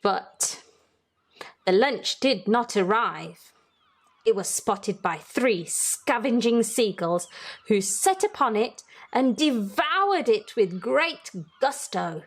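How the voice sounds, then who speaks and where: A woman hosting a children's story time, reading aloud in a deliberate, teacherly way and putting questions to young listeners. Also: on the high side, expressive.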